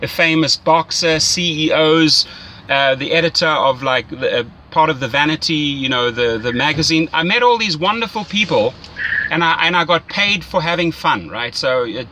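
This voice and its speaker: Man, forceful bright voice, enthusiastic mentor, casual coaching talk, salesy, slightly high pitch, faint background noise. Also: lively intonation, normal speed, poor audio. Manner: enthusiastic, salesy, often checks with "right?". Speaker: rideshare mentor. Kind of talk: casual coaching phone call.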